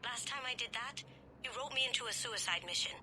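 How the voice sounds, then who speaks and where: A woman's voice: fairly high pitch, fast talker, pushed and bright. A woman playing a wry, reluctant, grieving character in a video game, with a sardonic way of talking. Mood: wry, wary. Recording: clear ambient noise behind.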